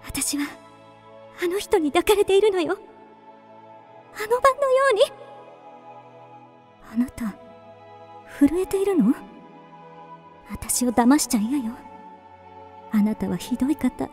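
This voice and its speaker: A woman's voice: high-pitched, very animated, slow pace, pauses often, a little mellow, faint music behind, full character voice. An actress playing a lonely, dreamy woman lost in romantic fantasy, with polite, theatrical delivery in a radio drama. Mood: wistful, yearning.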